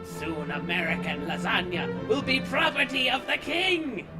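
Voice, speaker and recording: Defiant, wicked redcoat officer acted by a man, high melodramatic villain declamation for a parody tale. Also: measured pace, loud music behind the voice.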